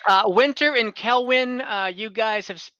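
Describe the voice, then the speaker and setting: Male game master, forward bright tone, casual halting narration, lightly amused, dry close sound, a bit dull. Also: high-pitched, dry recording. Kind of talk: improvised narration of an online tabletop fantasy game.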